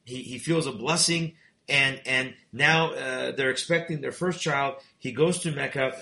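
A male talk show host with a bright, forceful voice, interviewing his guest. He recaps the story for listeners, stopping and restarting his sentences often. The room sounds echoey. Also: medium pitch, a little slow, vocal fry.

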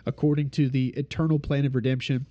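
A man's voice: mid-range voice, flat delivery, fast talker, mellow, lo-fi sound. A man leading an informal Bible study, explaining steadily and repeating key points, with a congested, stuffy nose.

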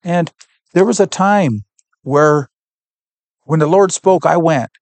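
A man from Canada, a podcast guest giving an earnest, heartfelt faith testimony and pausing to search for words. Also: on the high side, no room sound.

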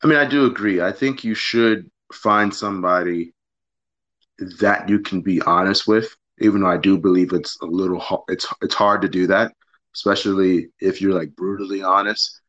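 A man talking slowly and hesitantly on a live show, searching for his words and hedging his views. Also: deep voice, steady tone, a bit bright, dry recording. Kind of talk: casual live show discussion. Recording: dull, dry lo-fi audio.

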